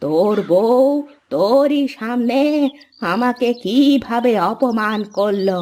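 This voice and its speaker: A woman voicing an aggrieved, melodramatic older mother-in-law in a cartoon, complaining in a theatrical, drawn-out way. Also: fairly high pitch, darkish tone, slight background noise. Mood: tearful self-pity. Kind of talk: Bengali cartoon voice acting. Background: background music.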